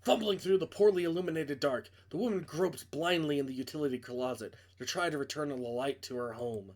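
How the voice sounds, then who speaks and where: A man's voice: on the high side, fairly quick, darkish tone, a little hiss or hum. A man reading a visual novel aloud as dramatic narration, with casual asides when he stumbles and corrects himself.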